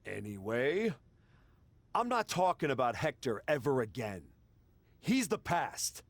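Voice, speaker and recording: An actor playing a cocky, vengeful pro wrestling villain in a video game, boastful and taunting. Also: male voice, medium pitch, sing-song, unhurried. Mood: smug, boastful.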